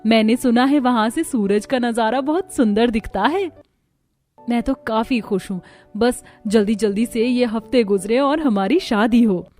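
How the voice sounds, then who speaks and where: High-voiced eager young village bride played by a woman. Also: unhurried, darkish tone, soft background music, close to an everyday voice. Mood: excited. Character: eager young village bride, dreamy and pleading cartoon daughter-in-law. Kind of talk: cartoon story dialogue.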